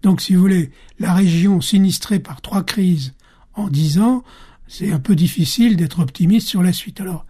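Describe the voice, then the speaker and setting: A man answering as an expert guest in a radio interview, explaining in a measured way with occasional fillers. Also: high-pitched, warm tone, slightly rough.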